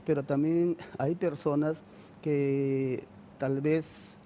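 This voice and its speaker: A man's voice: a little high, fairly even intonation, very slow, mellow, slight background noise. A man from Peru with a Peruvian accent, speaking as an astrologer on a TV panel. He is polite and hesitant and uses many fillers. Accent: Peruvian Spanish. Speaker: astrologer and spiritualist, panel guest. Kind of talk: studio panel discussion.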